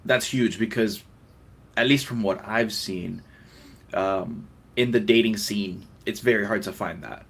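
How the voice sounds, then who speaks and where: A man's voice: lowish voice, measured pace, a bit bright, slightly rough. A man hosting a casual interview, asking questions and giving short, easygoing affirmations. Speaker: podcast host.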